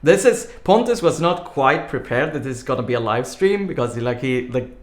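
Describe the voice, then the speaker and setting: A man's voice: medium pitch, expressive, a little fast. Rambling man streaming live, echoey room. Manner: rambling, many "like" fillers, laughs easily. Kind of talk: casual live-stream chat. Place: home room.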